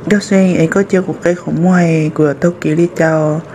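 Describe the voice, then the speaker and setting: A man voicing a high-voiced excitable cartoon man. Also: fast, slight background noise, close to an everyday voice. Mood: lively. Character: adult man in a folk-tale cartoon. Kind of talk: cartoon voice acting. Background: music.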